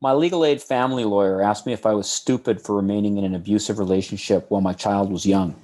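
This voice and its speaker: Fairly low-voiced man narrating. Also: fast talker, dark tone. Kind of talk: read testimony narration.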